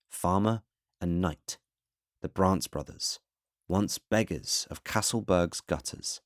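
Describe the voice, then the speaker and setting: A man reads a scripted fantasy bedtime story in a relaxing ASMR style, with a measured, solemn, literary delivery. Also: deep voice, a little mellow, no echo, clean studio sound. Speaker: storyteller, narrator.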